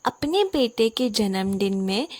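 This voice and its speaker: A friendly neighbour from a children's cartoon, played by a woman, high-pitched and effusive. She coaxes and encourages with insistent warmth, drawing out her words at a slow pace. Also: no echo, clean studio sound. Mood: effusive, encouraging. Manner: animated, coaxing, effusive. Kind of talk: Hindi children's cartoon story acting.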